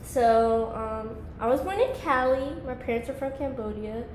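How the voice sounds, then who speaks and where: A woman's voice: a little high, normal speed, a little mellow, reverberant, a little hiss or hum, poor audio. A woman in her twenties, a podcast guest, talking in a laid-back, rambling way with lots of "like". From Georgia, US. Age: 20s. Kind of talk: casual podcast chat.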